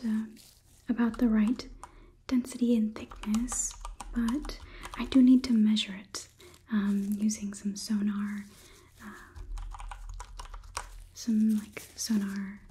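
Young woman acting as a slow, whispering robot overlord. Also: fairly high pitch, a little hiss or hum, lightly acted. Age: 20s. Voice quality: soft, breathy whisper. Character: robot overlord woman examining a human, polite, clinical, condescending. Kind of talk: whispered ASMR roleplay. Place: small indoor studio set. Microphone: close ASMR microphone.

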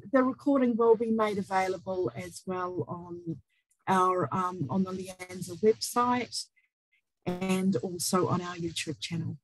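A woman opens a webinar, speaking slowly and haltingly with plenty of fillers. The sound is dry and close over a video call. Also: mid-range voice, slightly rough, no echo, rough recording. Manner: slow, halting, many fillers. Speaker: webinar host.

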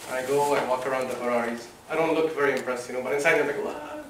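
A young man from Oman giving a motivational talk to a seated audience, animated and laughing at his own stories. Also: medium pitch, very fast, a loud crowd. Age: young adult. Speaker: motivational speaker. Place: wood-panelled lecture room with a seated audience. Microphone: room mic at a distance while he walks the stage. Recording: echoey hall from far off.

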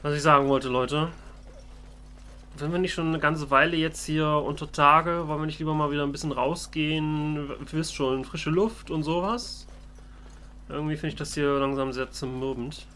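A man's voice: a little high, fairly even intonation, moderate pace, bright, forceful tone, slight background noise. A man doing casual, in-character let's play commentary, musing and thinking aloud. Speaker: let's player.

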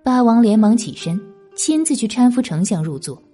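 A woman reads a novel aloud in a dramatic narrating style. Her voice is a little high and moves expressively, with a husky edge. Faint music plays underneath. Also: very animated, normal speed, dark tone. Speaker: audiobook narrator.

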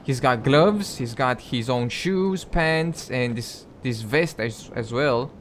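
A young man hosts a gaming video in a casual, chatty way. His voice sits at a middle pitch as he comments on the gameplay. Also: slow, slight background noise.

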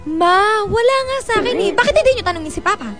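An actress playing a sulky, defiant daughter in a Filipino radio drama, whiny and insolent, with a Filipino accent. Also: female voice, extremely high pitch, expressive, fast, a bit bright, soft background music. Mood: indignant, defensive. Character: defiant, sulky daughter Tanya, talking back.

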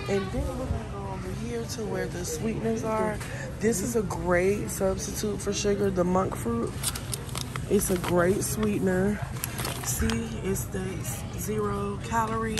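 A woman filming a casual shopping vlog in a supermarket, chatting and thinking aloud as she reads labels. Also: mid-range voice. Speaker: vlogger. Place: supermarket aisles. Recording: noisy store background.

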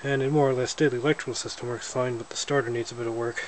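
A man with a voice of middling pitch talks in a relaxed, plainspoken way as he films his own walkaround in a garage. The handheld camera picks him up close, with clear noise running under his voice. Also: rapid-fire. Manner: relaxed, plainspoken, matter-of-fact. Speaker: hobbyist showing his snowmobile. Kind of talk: casual walkaround narration. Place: indoors, a garage or shed with windows. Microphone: handheld camera held by the speaker. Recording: noisy handheld camera audio.

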